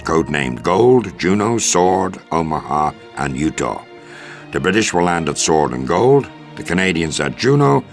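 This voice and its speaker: A very low, commanding American officer, delivers a formal mission briefing in a war video game. His speech is measured and authoritative, with prominent music underneath.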